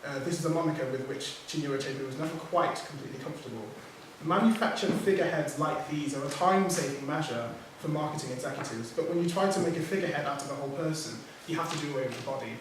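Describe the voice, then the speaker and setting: A man who speaks English as his first language, reading a prepared talk as a poet on a writers' panel, measured, with small self-corrections. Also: on the high side. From Nigerian heritage, raised outside Nigeria. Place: theatre hall. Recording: echoey hall from far off, background noise.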